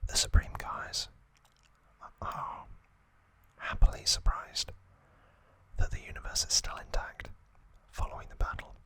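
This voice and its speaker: A man hosting ASMR, narrating in a breathy, unvoiced whisper with a gentle, deliberate manner and frequent pauses. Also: no room sound, poor audio. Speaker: ASMR channel host.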